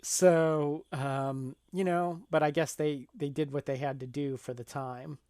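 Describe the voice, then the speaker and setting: Male podcast co-host, slightly high voice, dry sound, halting explainer in casual film chat. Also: steady tone, darkish tone, no room sound.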